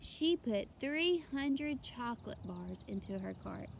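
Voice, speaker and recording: A woman's voice: a little high, lively intonation, slow pace, vocal fry, a little hiss or hum. A woman reading a children's story aloud expressively and emphatically, like a teacher addressing her young listeners.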